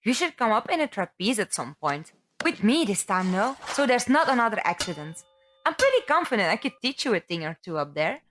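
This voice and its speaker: A woman's voice: mid-range voice, sing-song, fairly quick, fairly bright tone, a little hiss or hum. A woman playing a cheeky, confident young circus trapeze performer in an audio drama. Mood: teasing.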